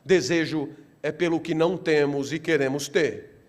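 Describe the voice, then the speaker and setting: A middle-aged Brazilian man giving a philosophy lecture with theatrical flair, asking rhetorical questions and repeating phrases for emphasis. He speaks in slow, deliberate phrases that build to loud, animated peaks. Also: fairly high pitch. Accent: Brazilian Portuguese.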